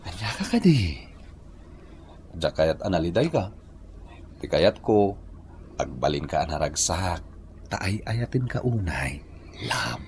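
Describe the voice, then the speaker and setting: An earnest ilocano lover in a radio drama, slow and wooing, some noise behind him. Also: male voice, deep voice, very animated, darkish tone, full character voice. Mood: coaxing, affectionate. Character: lovestruck, earnest adult suitor named Ricky. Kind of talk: Filipino radio drama acting.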